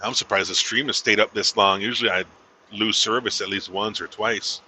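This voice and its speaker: A man's voice: lowish voice, fairly even intonation, moderate pace, pushed and bright, slight background noise, rough recording. A man in his thirties, a truck driver chatting casually to a live stream from his cab as he drives, laid-back and drawling, saying "man" a lot. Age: 30s. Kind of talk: casual livestream chat while driving. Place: inside a truck cab on the road.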